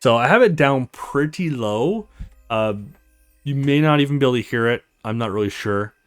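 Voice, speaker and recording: A man's voice: medium pitch, expressive, moderate pace, smooth, no echo, soft background music. A man hosting a let's play, chatting casually in rambling asides as he comments on a game. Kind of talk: casual gaming commentary and reading aloud a visual novel.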